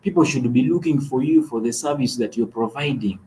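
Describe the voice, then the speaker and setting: A man's voice: medium pitch, brisk, background noise. A man from Uganda co-hosting a talk show, giving earnest political commentary and often repeating words as he restarts.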